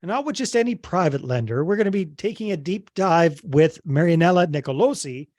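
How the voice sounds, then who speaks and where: A man's voice: a little high, expressive, brisk, a little mellow, no room sound. A man hosting an interview podcast in an upbeat, chatty way, often jumping in to finish his guest's thoughts. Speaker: podcast host.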